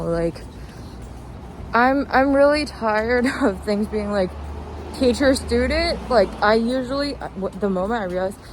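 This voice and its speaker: Slow-paced young woman rambling over street noise. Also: medium pitch, a little mellow. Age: young adult. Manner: informal, hesitant, trailing thoughts with frequent 'like'. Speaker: vlogger. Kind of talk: casual rambling commentary. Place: outdoors, walking along a street. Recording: noisy outdoor recording with traffic ambience. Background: clear street and vehicle noise.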